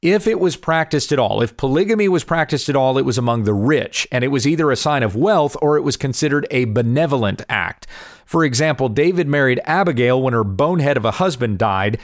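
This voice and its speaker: A man in his forties, slightly hoarse from allergies, earnestly explaining Bible passages and answering viewer questions. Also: medium pitch, lively intonation, brisk, no room sound, studio quality. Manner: earnest, explanatory, reads scripture aloud. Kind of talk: casual Bible teaching video. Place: home in Kansas.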